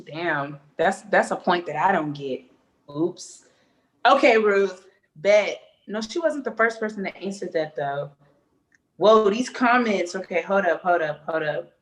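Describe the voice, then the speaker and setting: A woman's voice: on the low side, measured pace, poor audio. Playful young woman hosting a live chat. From United States. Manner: playful, animated, laughs often, reads viewer comments aloud. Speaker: co-host. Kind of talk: casual live-stream chat.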